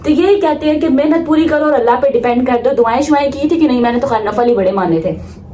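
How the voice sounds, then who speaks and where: A young Pakistani woman in her late teens speaks in a high voice, in the casual style of a student vlogger. She is emphatic and opinionated and drops English phrases into her talk. A faint noise sits behind her voice. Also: quick pace, dark tone, roomy sound. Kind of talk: casual vlog talk to viewers.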